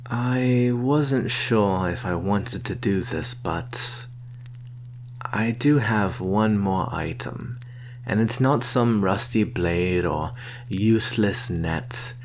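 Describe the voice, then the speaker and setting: A man playing a sly vampire posing as a traveling merchant, soft-spoken and coaxing in an ASMR roleplay. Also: on the low side, slight background noise. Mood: coy, reluctant. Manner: soft-spoken, coaxing, deliberate with sighs and soft chuckles.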